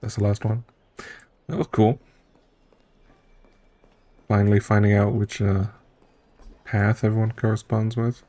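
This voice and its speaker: A woman's voice: very deep voice, flat delivery, measured pace, long pauses, dark tone. A woman game streamer giving casual commentary on a playthrough.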